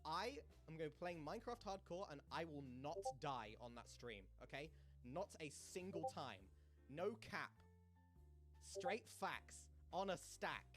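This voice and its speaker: A playful man with a Cockney accent chats through his stream, laughing often and teasing viewers. His voice sits fairly high and swings widely in pitch. Soft music plays faintly underneath. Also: sing-song, unhurried. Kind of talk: casual stream chat.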